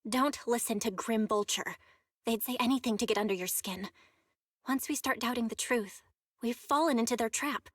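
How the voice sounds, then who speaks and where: A woman playing a caring young confidante in a video game, speaking in a gentle, reassuring way. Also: a little high, moderate pace, slightly rough, dry recording, clean studio sound. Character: caring young female confidante, calm and supportive.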